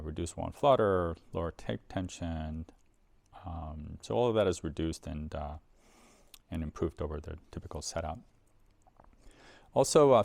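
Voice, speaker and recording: A man's voice: deep voice, creaky, a little mellow, dry recording. A man giving a casual, explanatory product demonstration in an informal way, as a presenter for an equipment seller.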